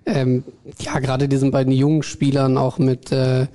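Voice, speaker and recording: A man, a sports journalist, calmly discussing football on a podcast with a measured delivery and frequent hesitations. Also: mid-range voice. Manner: measured, many hesitation fillers. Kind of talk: casual football podcast chat.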